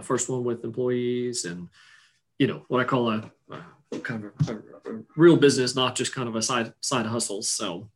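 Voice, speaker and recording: A man in his forties, originally from Iceland, talks in a loose, rambling way, circling back with ums and restarts. His voice sits at a middling pitch, relaxed and conversational as an interview guest. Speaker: guest, business owner. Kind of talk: casual interview chat.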